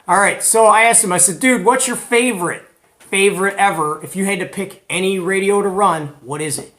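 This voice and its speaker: An American man hosting a hobby video in a casual, animated, colloquial way, retelling conversations as he goes. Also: sits high, fairly quick. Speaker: host of a CB radio show. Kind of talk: casual hobby vlog presenting.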